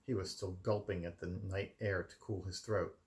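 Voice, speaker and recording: Low, creaky-voiced man reading a storybook. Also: fairly even intonation, normal speed. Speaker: amateur storybook reader. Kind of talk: scripted storybook narration. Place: his room at home.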